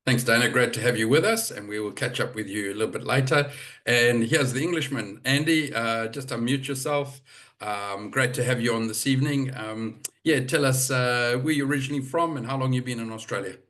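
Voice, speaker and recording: A middle-aged man from Zimbabwe hosting a live property webinar over a video call, chatty and relaxed, with many fillers and restarts. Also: mid-range voice, a little fast. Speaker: webinar host.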